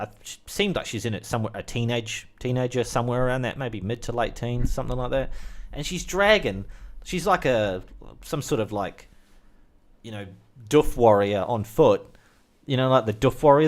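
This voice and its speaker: A young man with a New Zealand accent, rambling through a casual monologue with lots of fillers and asides. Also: medium pitch. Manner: chatty, full of fillers and asides. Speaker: vlogger.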